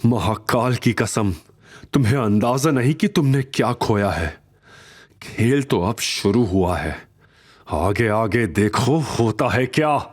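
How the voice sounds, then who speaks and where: A man playing a proud, composed tycoon husband with a commanding, deliberate manner, in an audio drama. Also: lively intonation. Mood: proud, resolute. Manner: low-key, commanding, deliberate.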